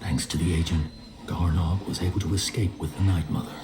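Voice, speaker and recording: A man playing a solemn storyteller, narrating dark game tales in a hushed, deliberate manner. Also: sits low, steady tone, background noise, natural voice. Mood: grave. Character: grave male storyteller recounting dark tales to a friend. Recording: music clearly under the voice.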